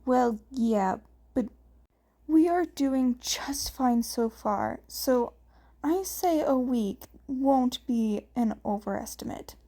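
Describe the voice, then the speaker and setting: Storybook boy twin read by a woman: offhand, bantering, soft mellow tone. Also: fairly high pitch, expressive, takes it slowly, full character voice. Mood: reassuring.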